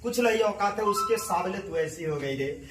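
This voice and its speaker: Echoey, high-voiced reproachful man. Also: lively intonation, moderate pace. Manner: reproachful, complaining. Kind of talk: aggrieved personal phone talk. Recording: distant, echoey, noisy call recording.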